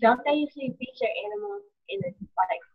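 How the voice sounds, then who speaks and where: A teenage girl answering casually as a student, unsure and halting in how she talks. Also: very high-pitched, sing-song, vocal fry. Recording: thin phone-like virtual class call.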